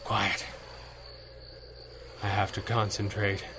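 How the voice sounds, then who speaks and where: An actor playing an exhausted, erudite masked hero who speaks drily and formally in a radio drama. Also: male voice, lowish voice, level tone, slow, background noise. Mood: strained, wry. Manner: dry, formal wording. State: weak, exhausted. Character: weakened, wry, erudite male masked hero, stubborn.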